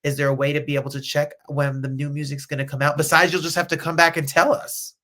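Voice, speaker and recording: A man's voice: fairly high pitch, flat delivery, quick pace, sharp tone. An American man hosting a casual interview, effusive and encouraging, often rephrasing his questions as he goes. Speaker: interview host.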